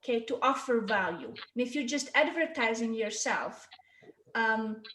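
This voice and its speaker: A woman's voice: a little high, animated, unhurried, pushed and bright, a little hiss or hum. Hungarian-accented woman teaching in a roomy space. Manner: chatty, checks in with "okay?" and "right?". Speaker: marketing workshop presenter. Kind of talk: informal online teaching talk.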